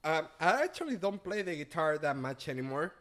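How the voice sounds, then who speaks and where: A man's voice: fairly high pitch, sing-song, a bit bright. Earnest man, reflective. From Venezuela. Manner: earnest, reflective, halting restarts. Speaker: podcast guest. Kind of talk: casual chat.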